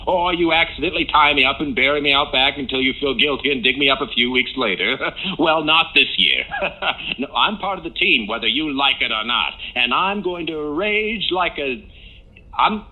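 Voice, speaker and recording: A man's voice: on the high side, normal speed, sharp tone, poor audio. A man playing a smug, petulant show announcer in a campy horror-comedy audio drama, speaking theatrically. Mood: indignant. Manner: theatrical, indignant. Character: gleeful, aggrieved male announcer, campy and petulant.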